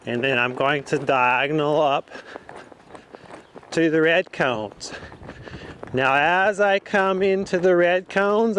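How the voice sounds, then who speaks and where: A man giving calm, explanatory riding instruction as he rides in an outdoor arena. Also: a little high, slight background noise. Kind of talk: instructional commentary while riding.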